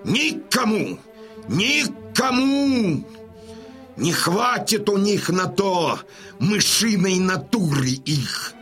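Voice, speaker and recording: An old Cossack chieftain in a radio drama. He is proud and stern, orating with great swings of pitch and long, weighty pauses. Faint music sits behind him. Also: very animated, a bit bright, exaggerated voice. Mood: impassioned oratory. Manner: declamatory, emphatic, weighty pauses. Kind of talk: Russian audio drama acting, oratory.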